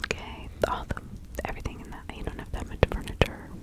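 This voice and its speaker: A woman playing a helpful nature witch in a whispered ASMR roleplay, giving instructions in a breathy, halting, conversational way. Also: close to an everyday voice. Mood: patient, instructive. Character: practical, helpful nature witch advising a client in 1656.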